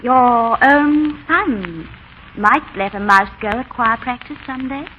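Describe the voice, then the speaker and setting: High-voiced coaxing wife, old radio. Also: female voice, animated, takes it slowly. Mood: tender, teasing. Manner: affectionate, teasing, persuasive. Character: warm, playful young wife, gently coaxing her husband. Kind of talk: 1930s radio drama acting. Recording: dull, noisy 1930s radio recording.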